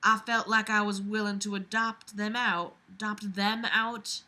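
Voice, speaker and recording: A woman's voice: medium pitch, flat delivery, unhurried, pushed and bright. A young adult woman reading fiction aloud with weary, exasperated, sarcastic commentary and plenty of swearing. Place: small bedroom, lying on a bed.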